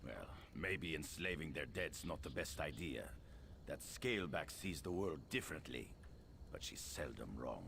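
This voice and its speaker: A man playing a blunt, weary sergeant in a fantasy game, plainspoken, with a gravelly voice. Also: rumbling low, animated, measured pace, fairly bright tone, a little hiss or hum, heavily acted. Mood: conceding. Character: blunt, weary male sergeant, humble sword swinger. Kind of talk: scripted fantasy game dialogue.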